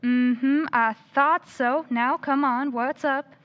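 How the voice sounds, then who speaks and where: An actress playing a gossipy, folksy small-town shopkeeper in an old-time radio drama, with rural-sounding speech. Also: female voice, sits high, fairly even intonation, slow pace, highs cut off. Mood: nosy. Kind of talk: 1940s radio acting.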